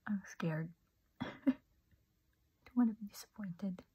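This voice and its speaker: Breathy whispering woman, slow and soft. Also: mid-range voice. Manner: soft unvoiced whisper, gushing and amazed. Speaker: beauty ASMR creator. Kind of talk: whispered ASMR makeup demo.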